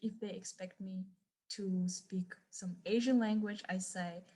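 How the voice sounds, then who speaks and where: A woman from Kazakhstan speaking on a panel, explaining her views in a measured way. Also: medium pitch, steady tone, darkish tone. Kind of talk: panel conversation.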